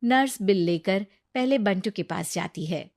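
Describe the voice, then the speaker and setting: A woman tells a moral story at a steady, even storytelling pace, her voice at an ordinary middle pitch. The recording is dry and close, with no room sound. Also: measured pace, no room sound. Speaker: narrator. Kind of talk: scripted story narration.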